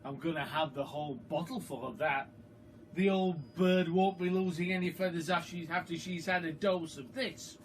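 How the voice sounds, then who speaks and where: A man voicing a mischievous, excitable young boy in an emphatic, relishing storybook style. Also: on the high side, moderate pace, slight background noise, lightly acted. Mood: determined. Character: excitable, mischievous young boy George, plotting against grandma.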